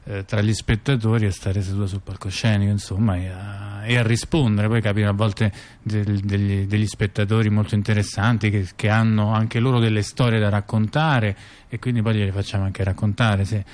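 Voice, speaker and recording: A man's voice: on the low side, little pitch movement, brisk, few pauses, darkish tone. Chatty man, colloquial and rambling. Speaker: theatre writer and performer, interview guest. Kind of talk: casual spontaneous storytelling in an interview.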